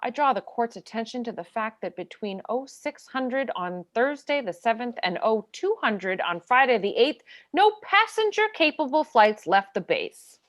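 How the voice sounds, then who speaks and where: Dogged courtroom defense counsel, female actor: lightly high-pitched, highly expressive, insistent cross-examiner in a script reading. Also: moderate pace, hardly any pauses. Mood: formal. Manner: pointed, insistent questioning. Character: dogged, needling military defense lawyer cross-examining a witness.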